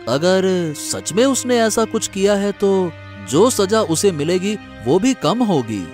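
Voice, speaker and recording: A man's voice: high-pitched, sing-song, slow pace, darkish tone, soft background music, full character voice. A man playing a sympathetic, grieving village man in a cartoon drama, somber and consoling. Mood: somber sympathy. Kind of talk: animated moral story drama.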